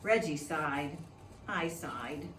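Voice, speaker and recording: A woman reading a children's picture book aloud in an expressive storytelling style, in the manner of a preschool teacher. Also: low voice, extremely slow. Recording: echoey room, distant mic, faint noise.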